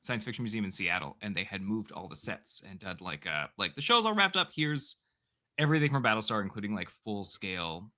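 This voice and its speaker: A man's voice: lowish voice, big pitch swings, brisk, bright, forceful tone. A man co-hosting a film podcast, chatting casually and telling stories. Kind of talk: casual chat.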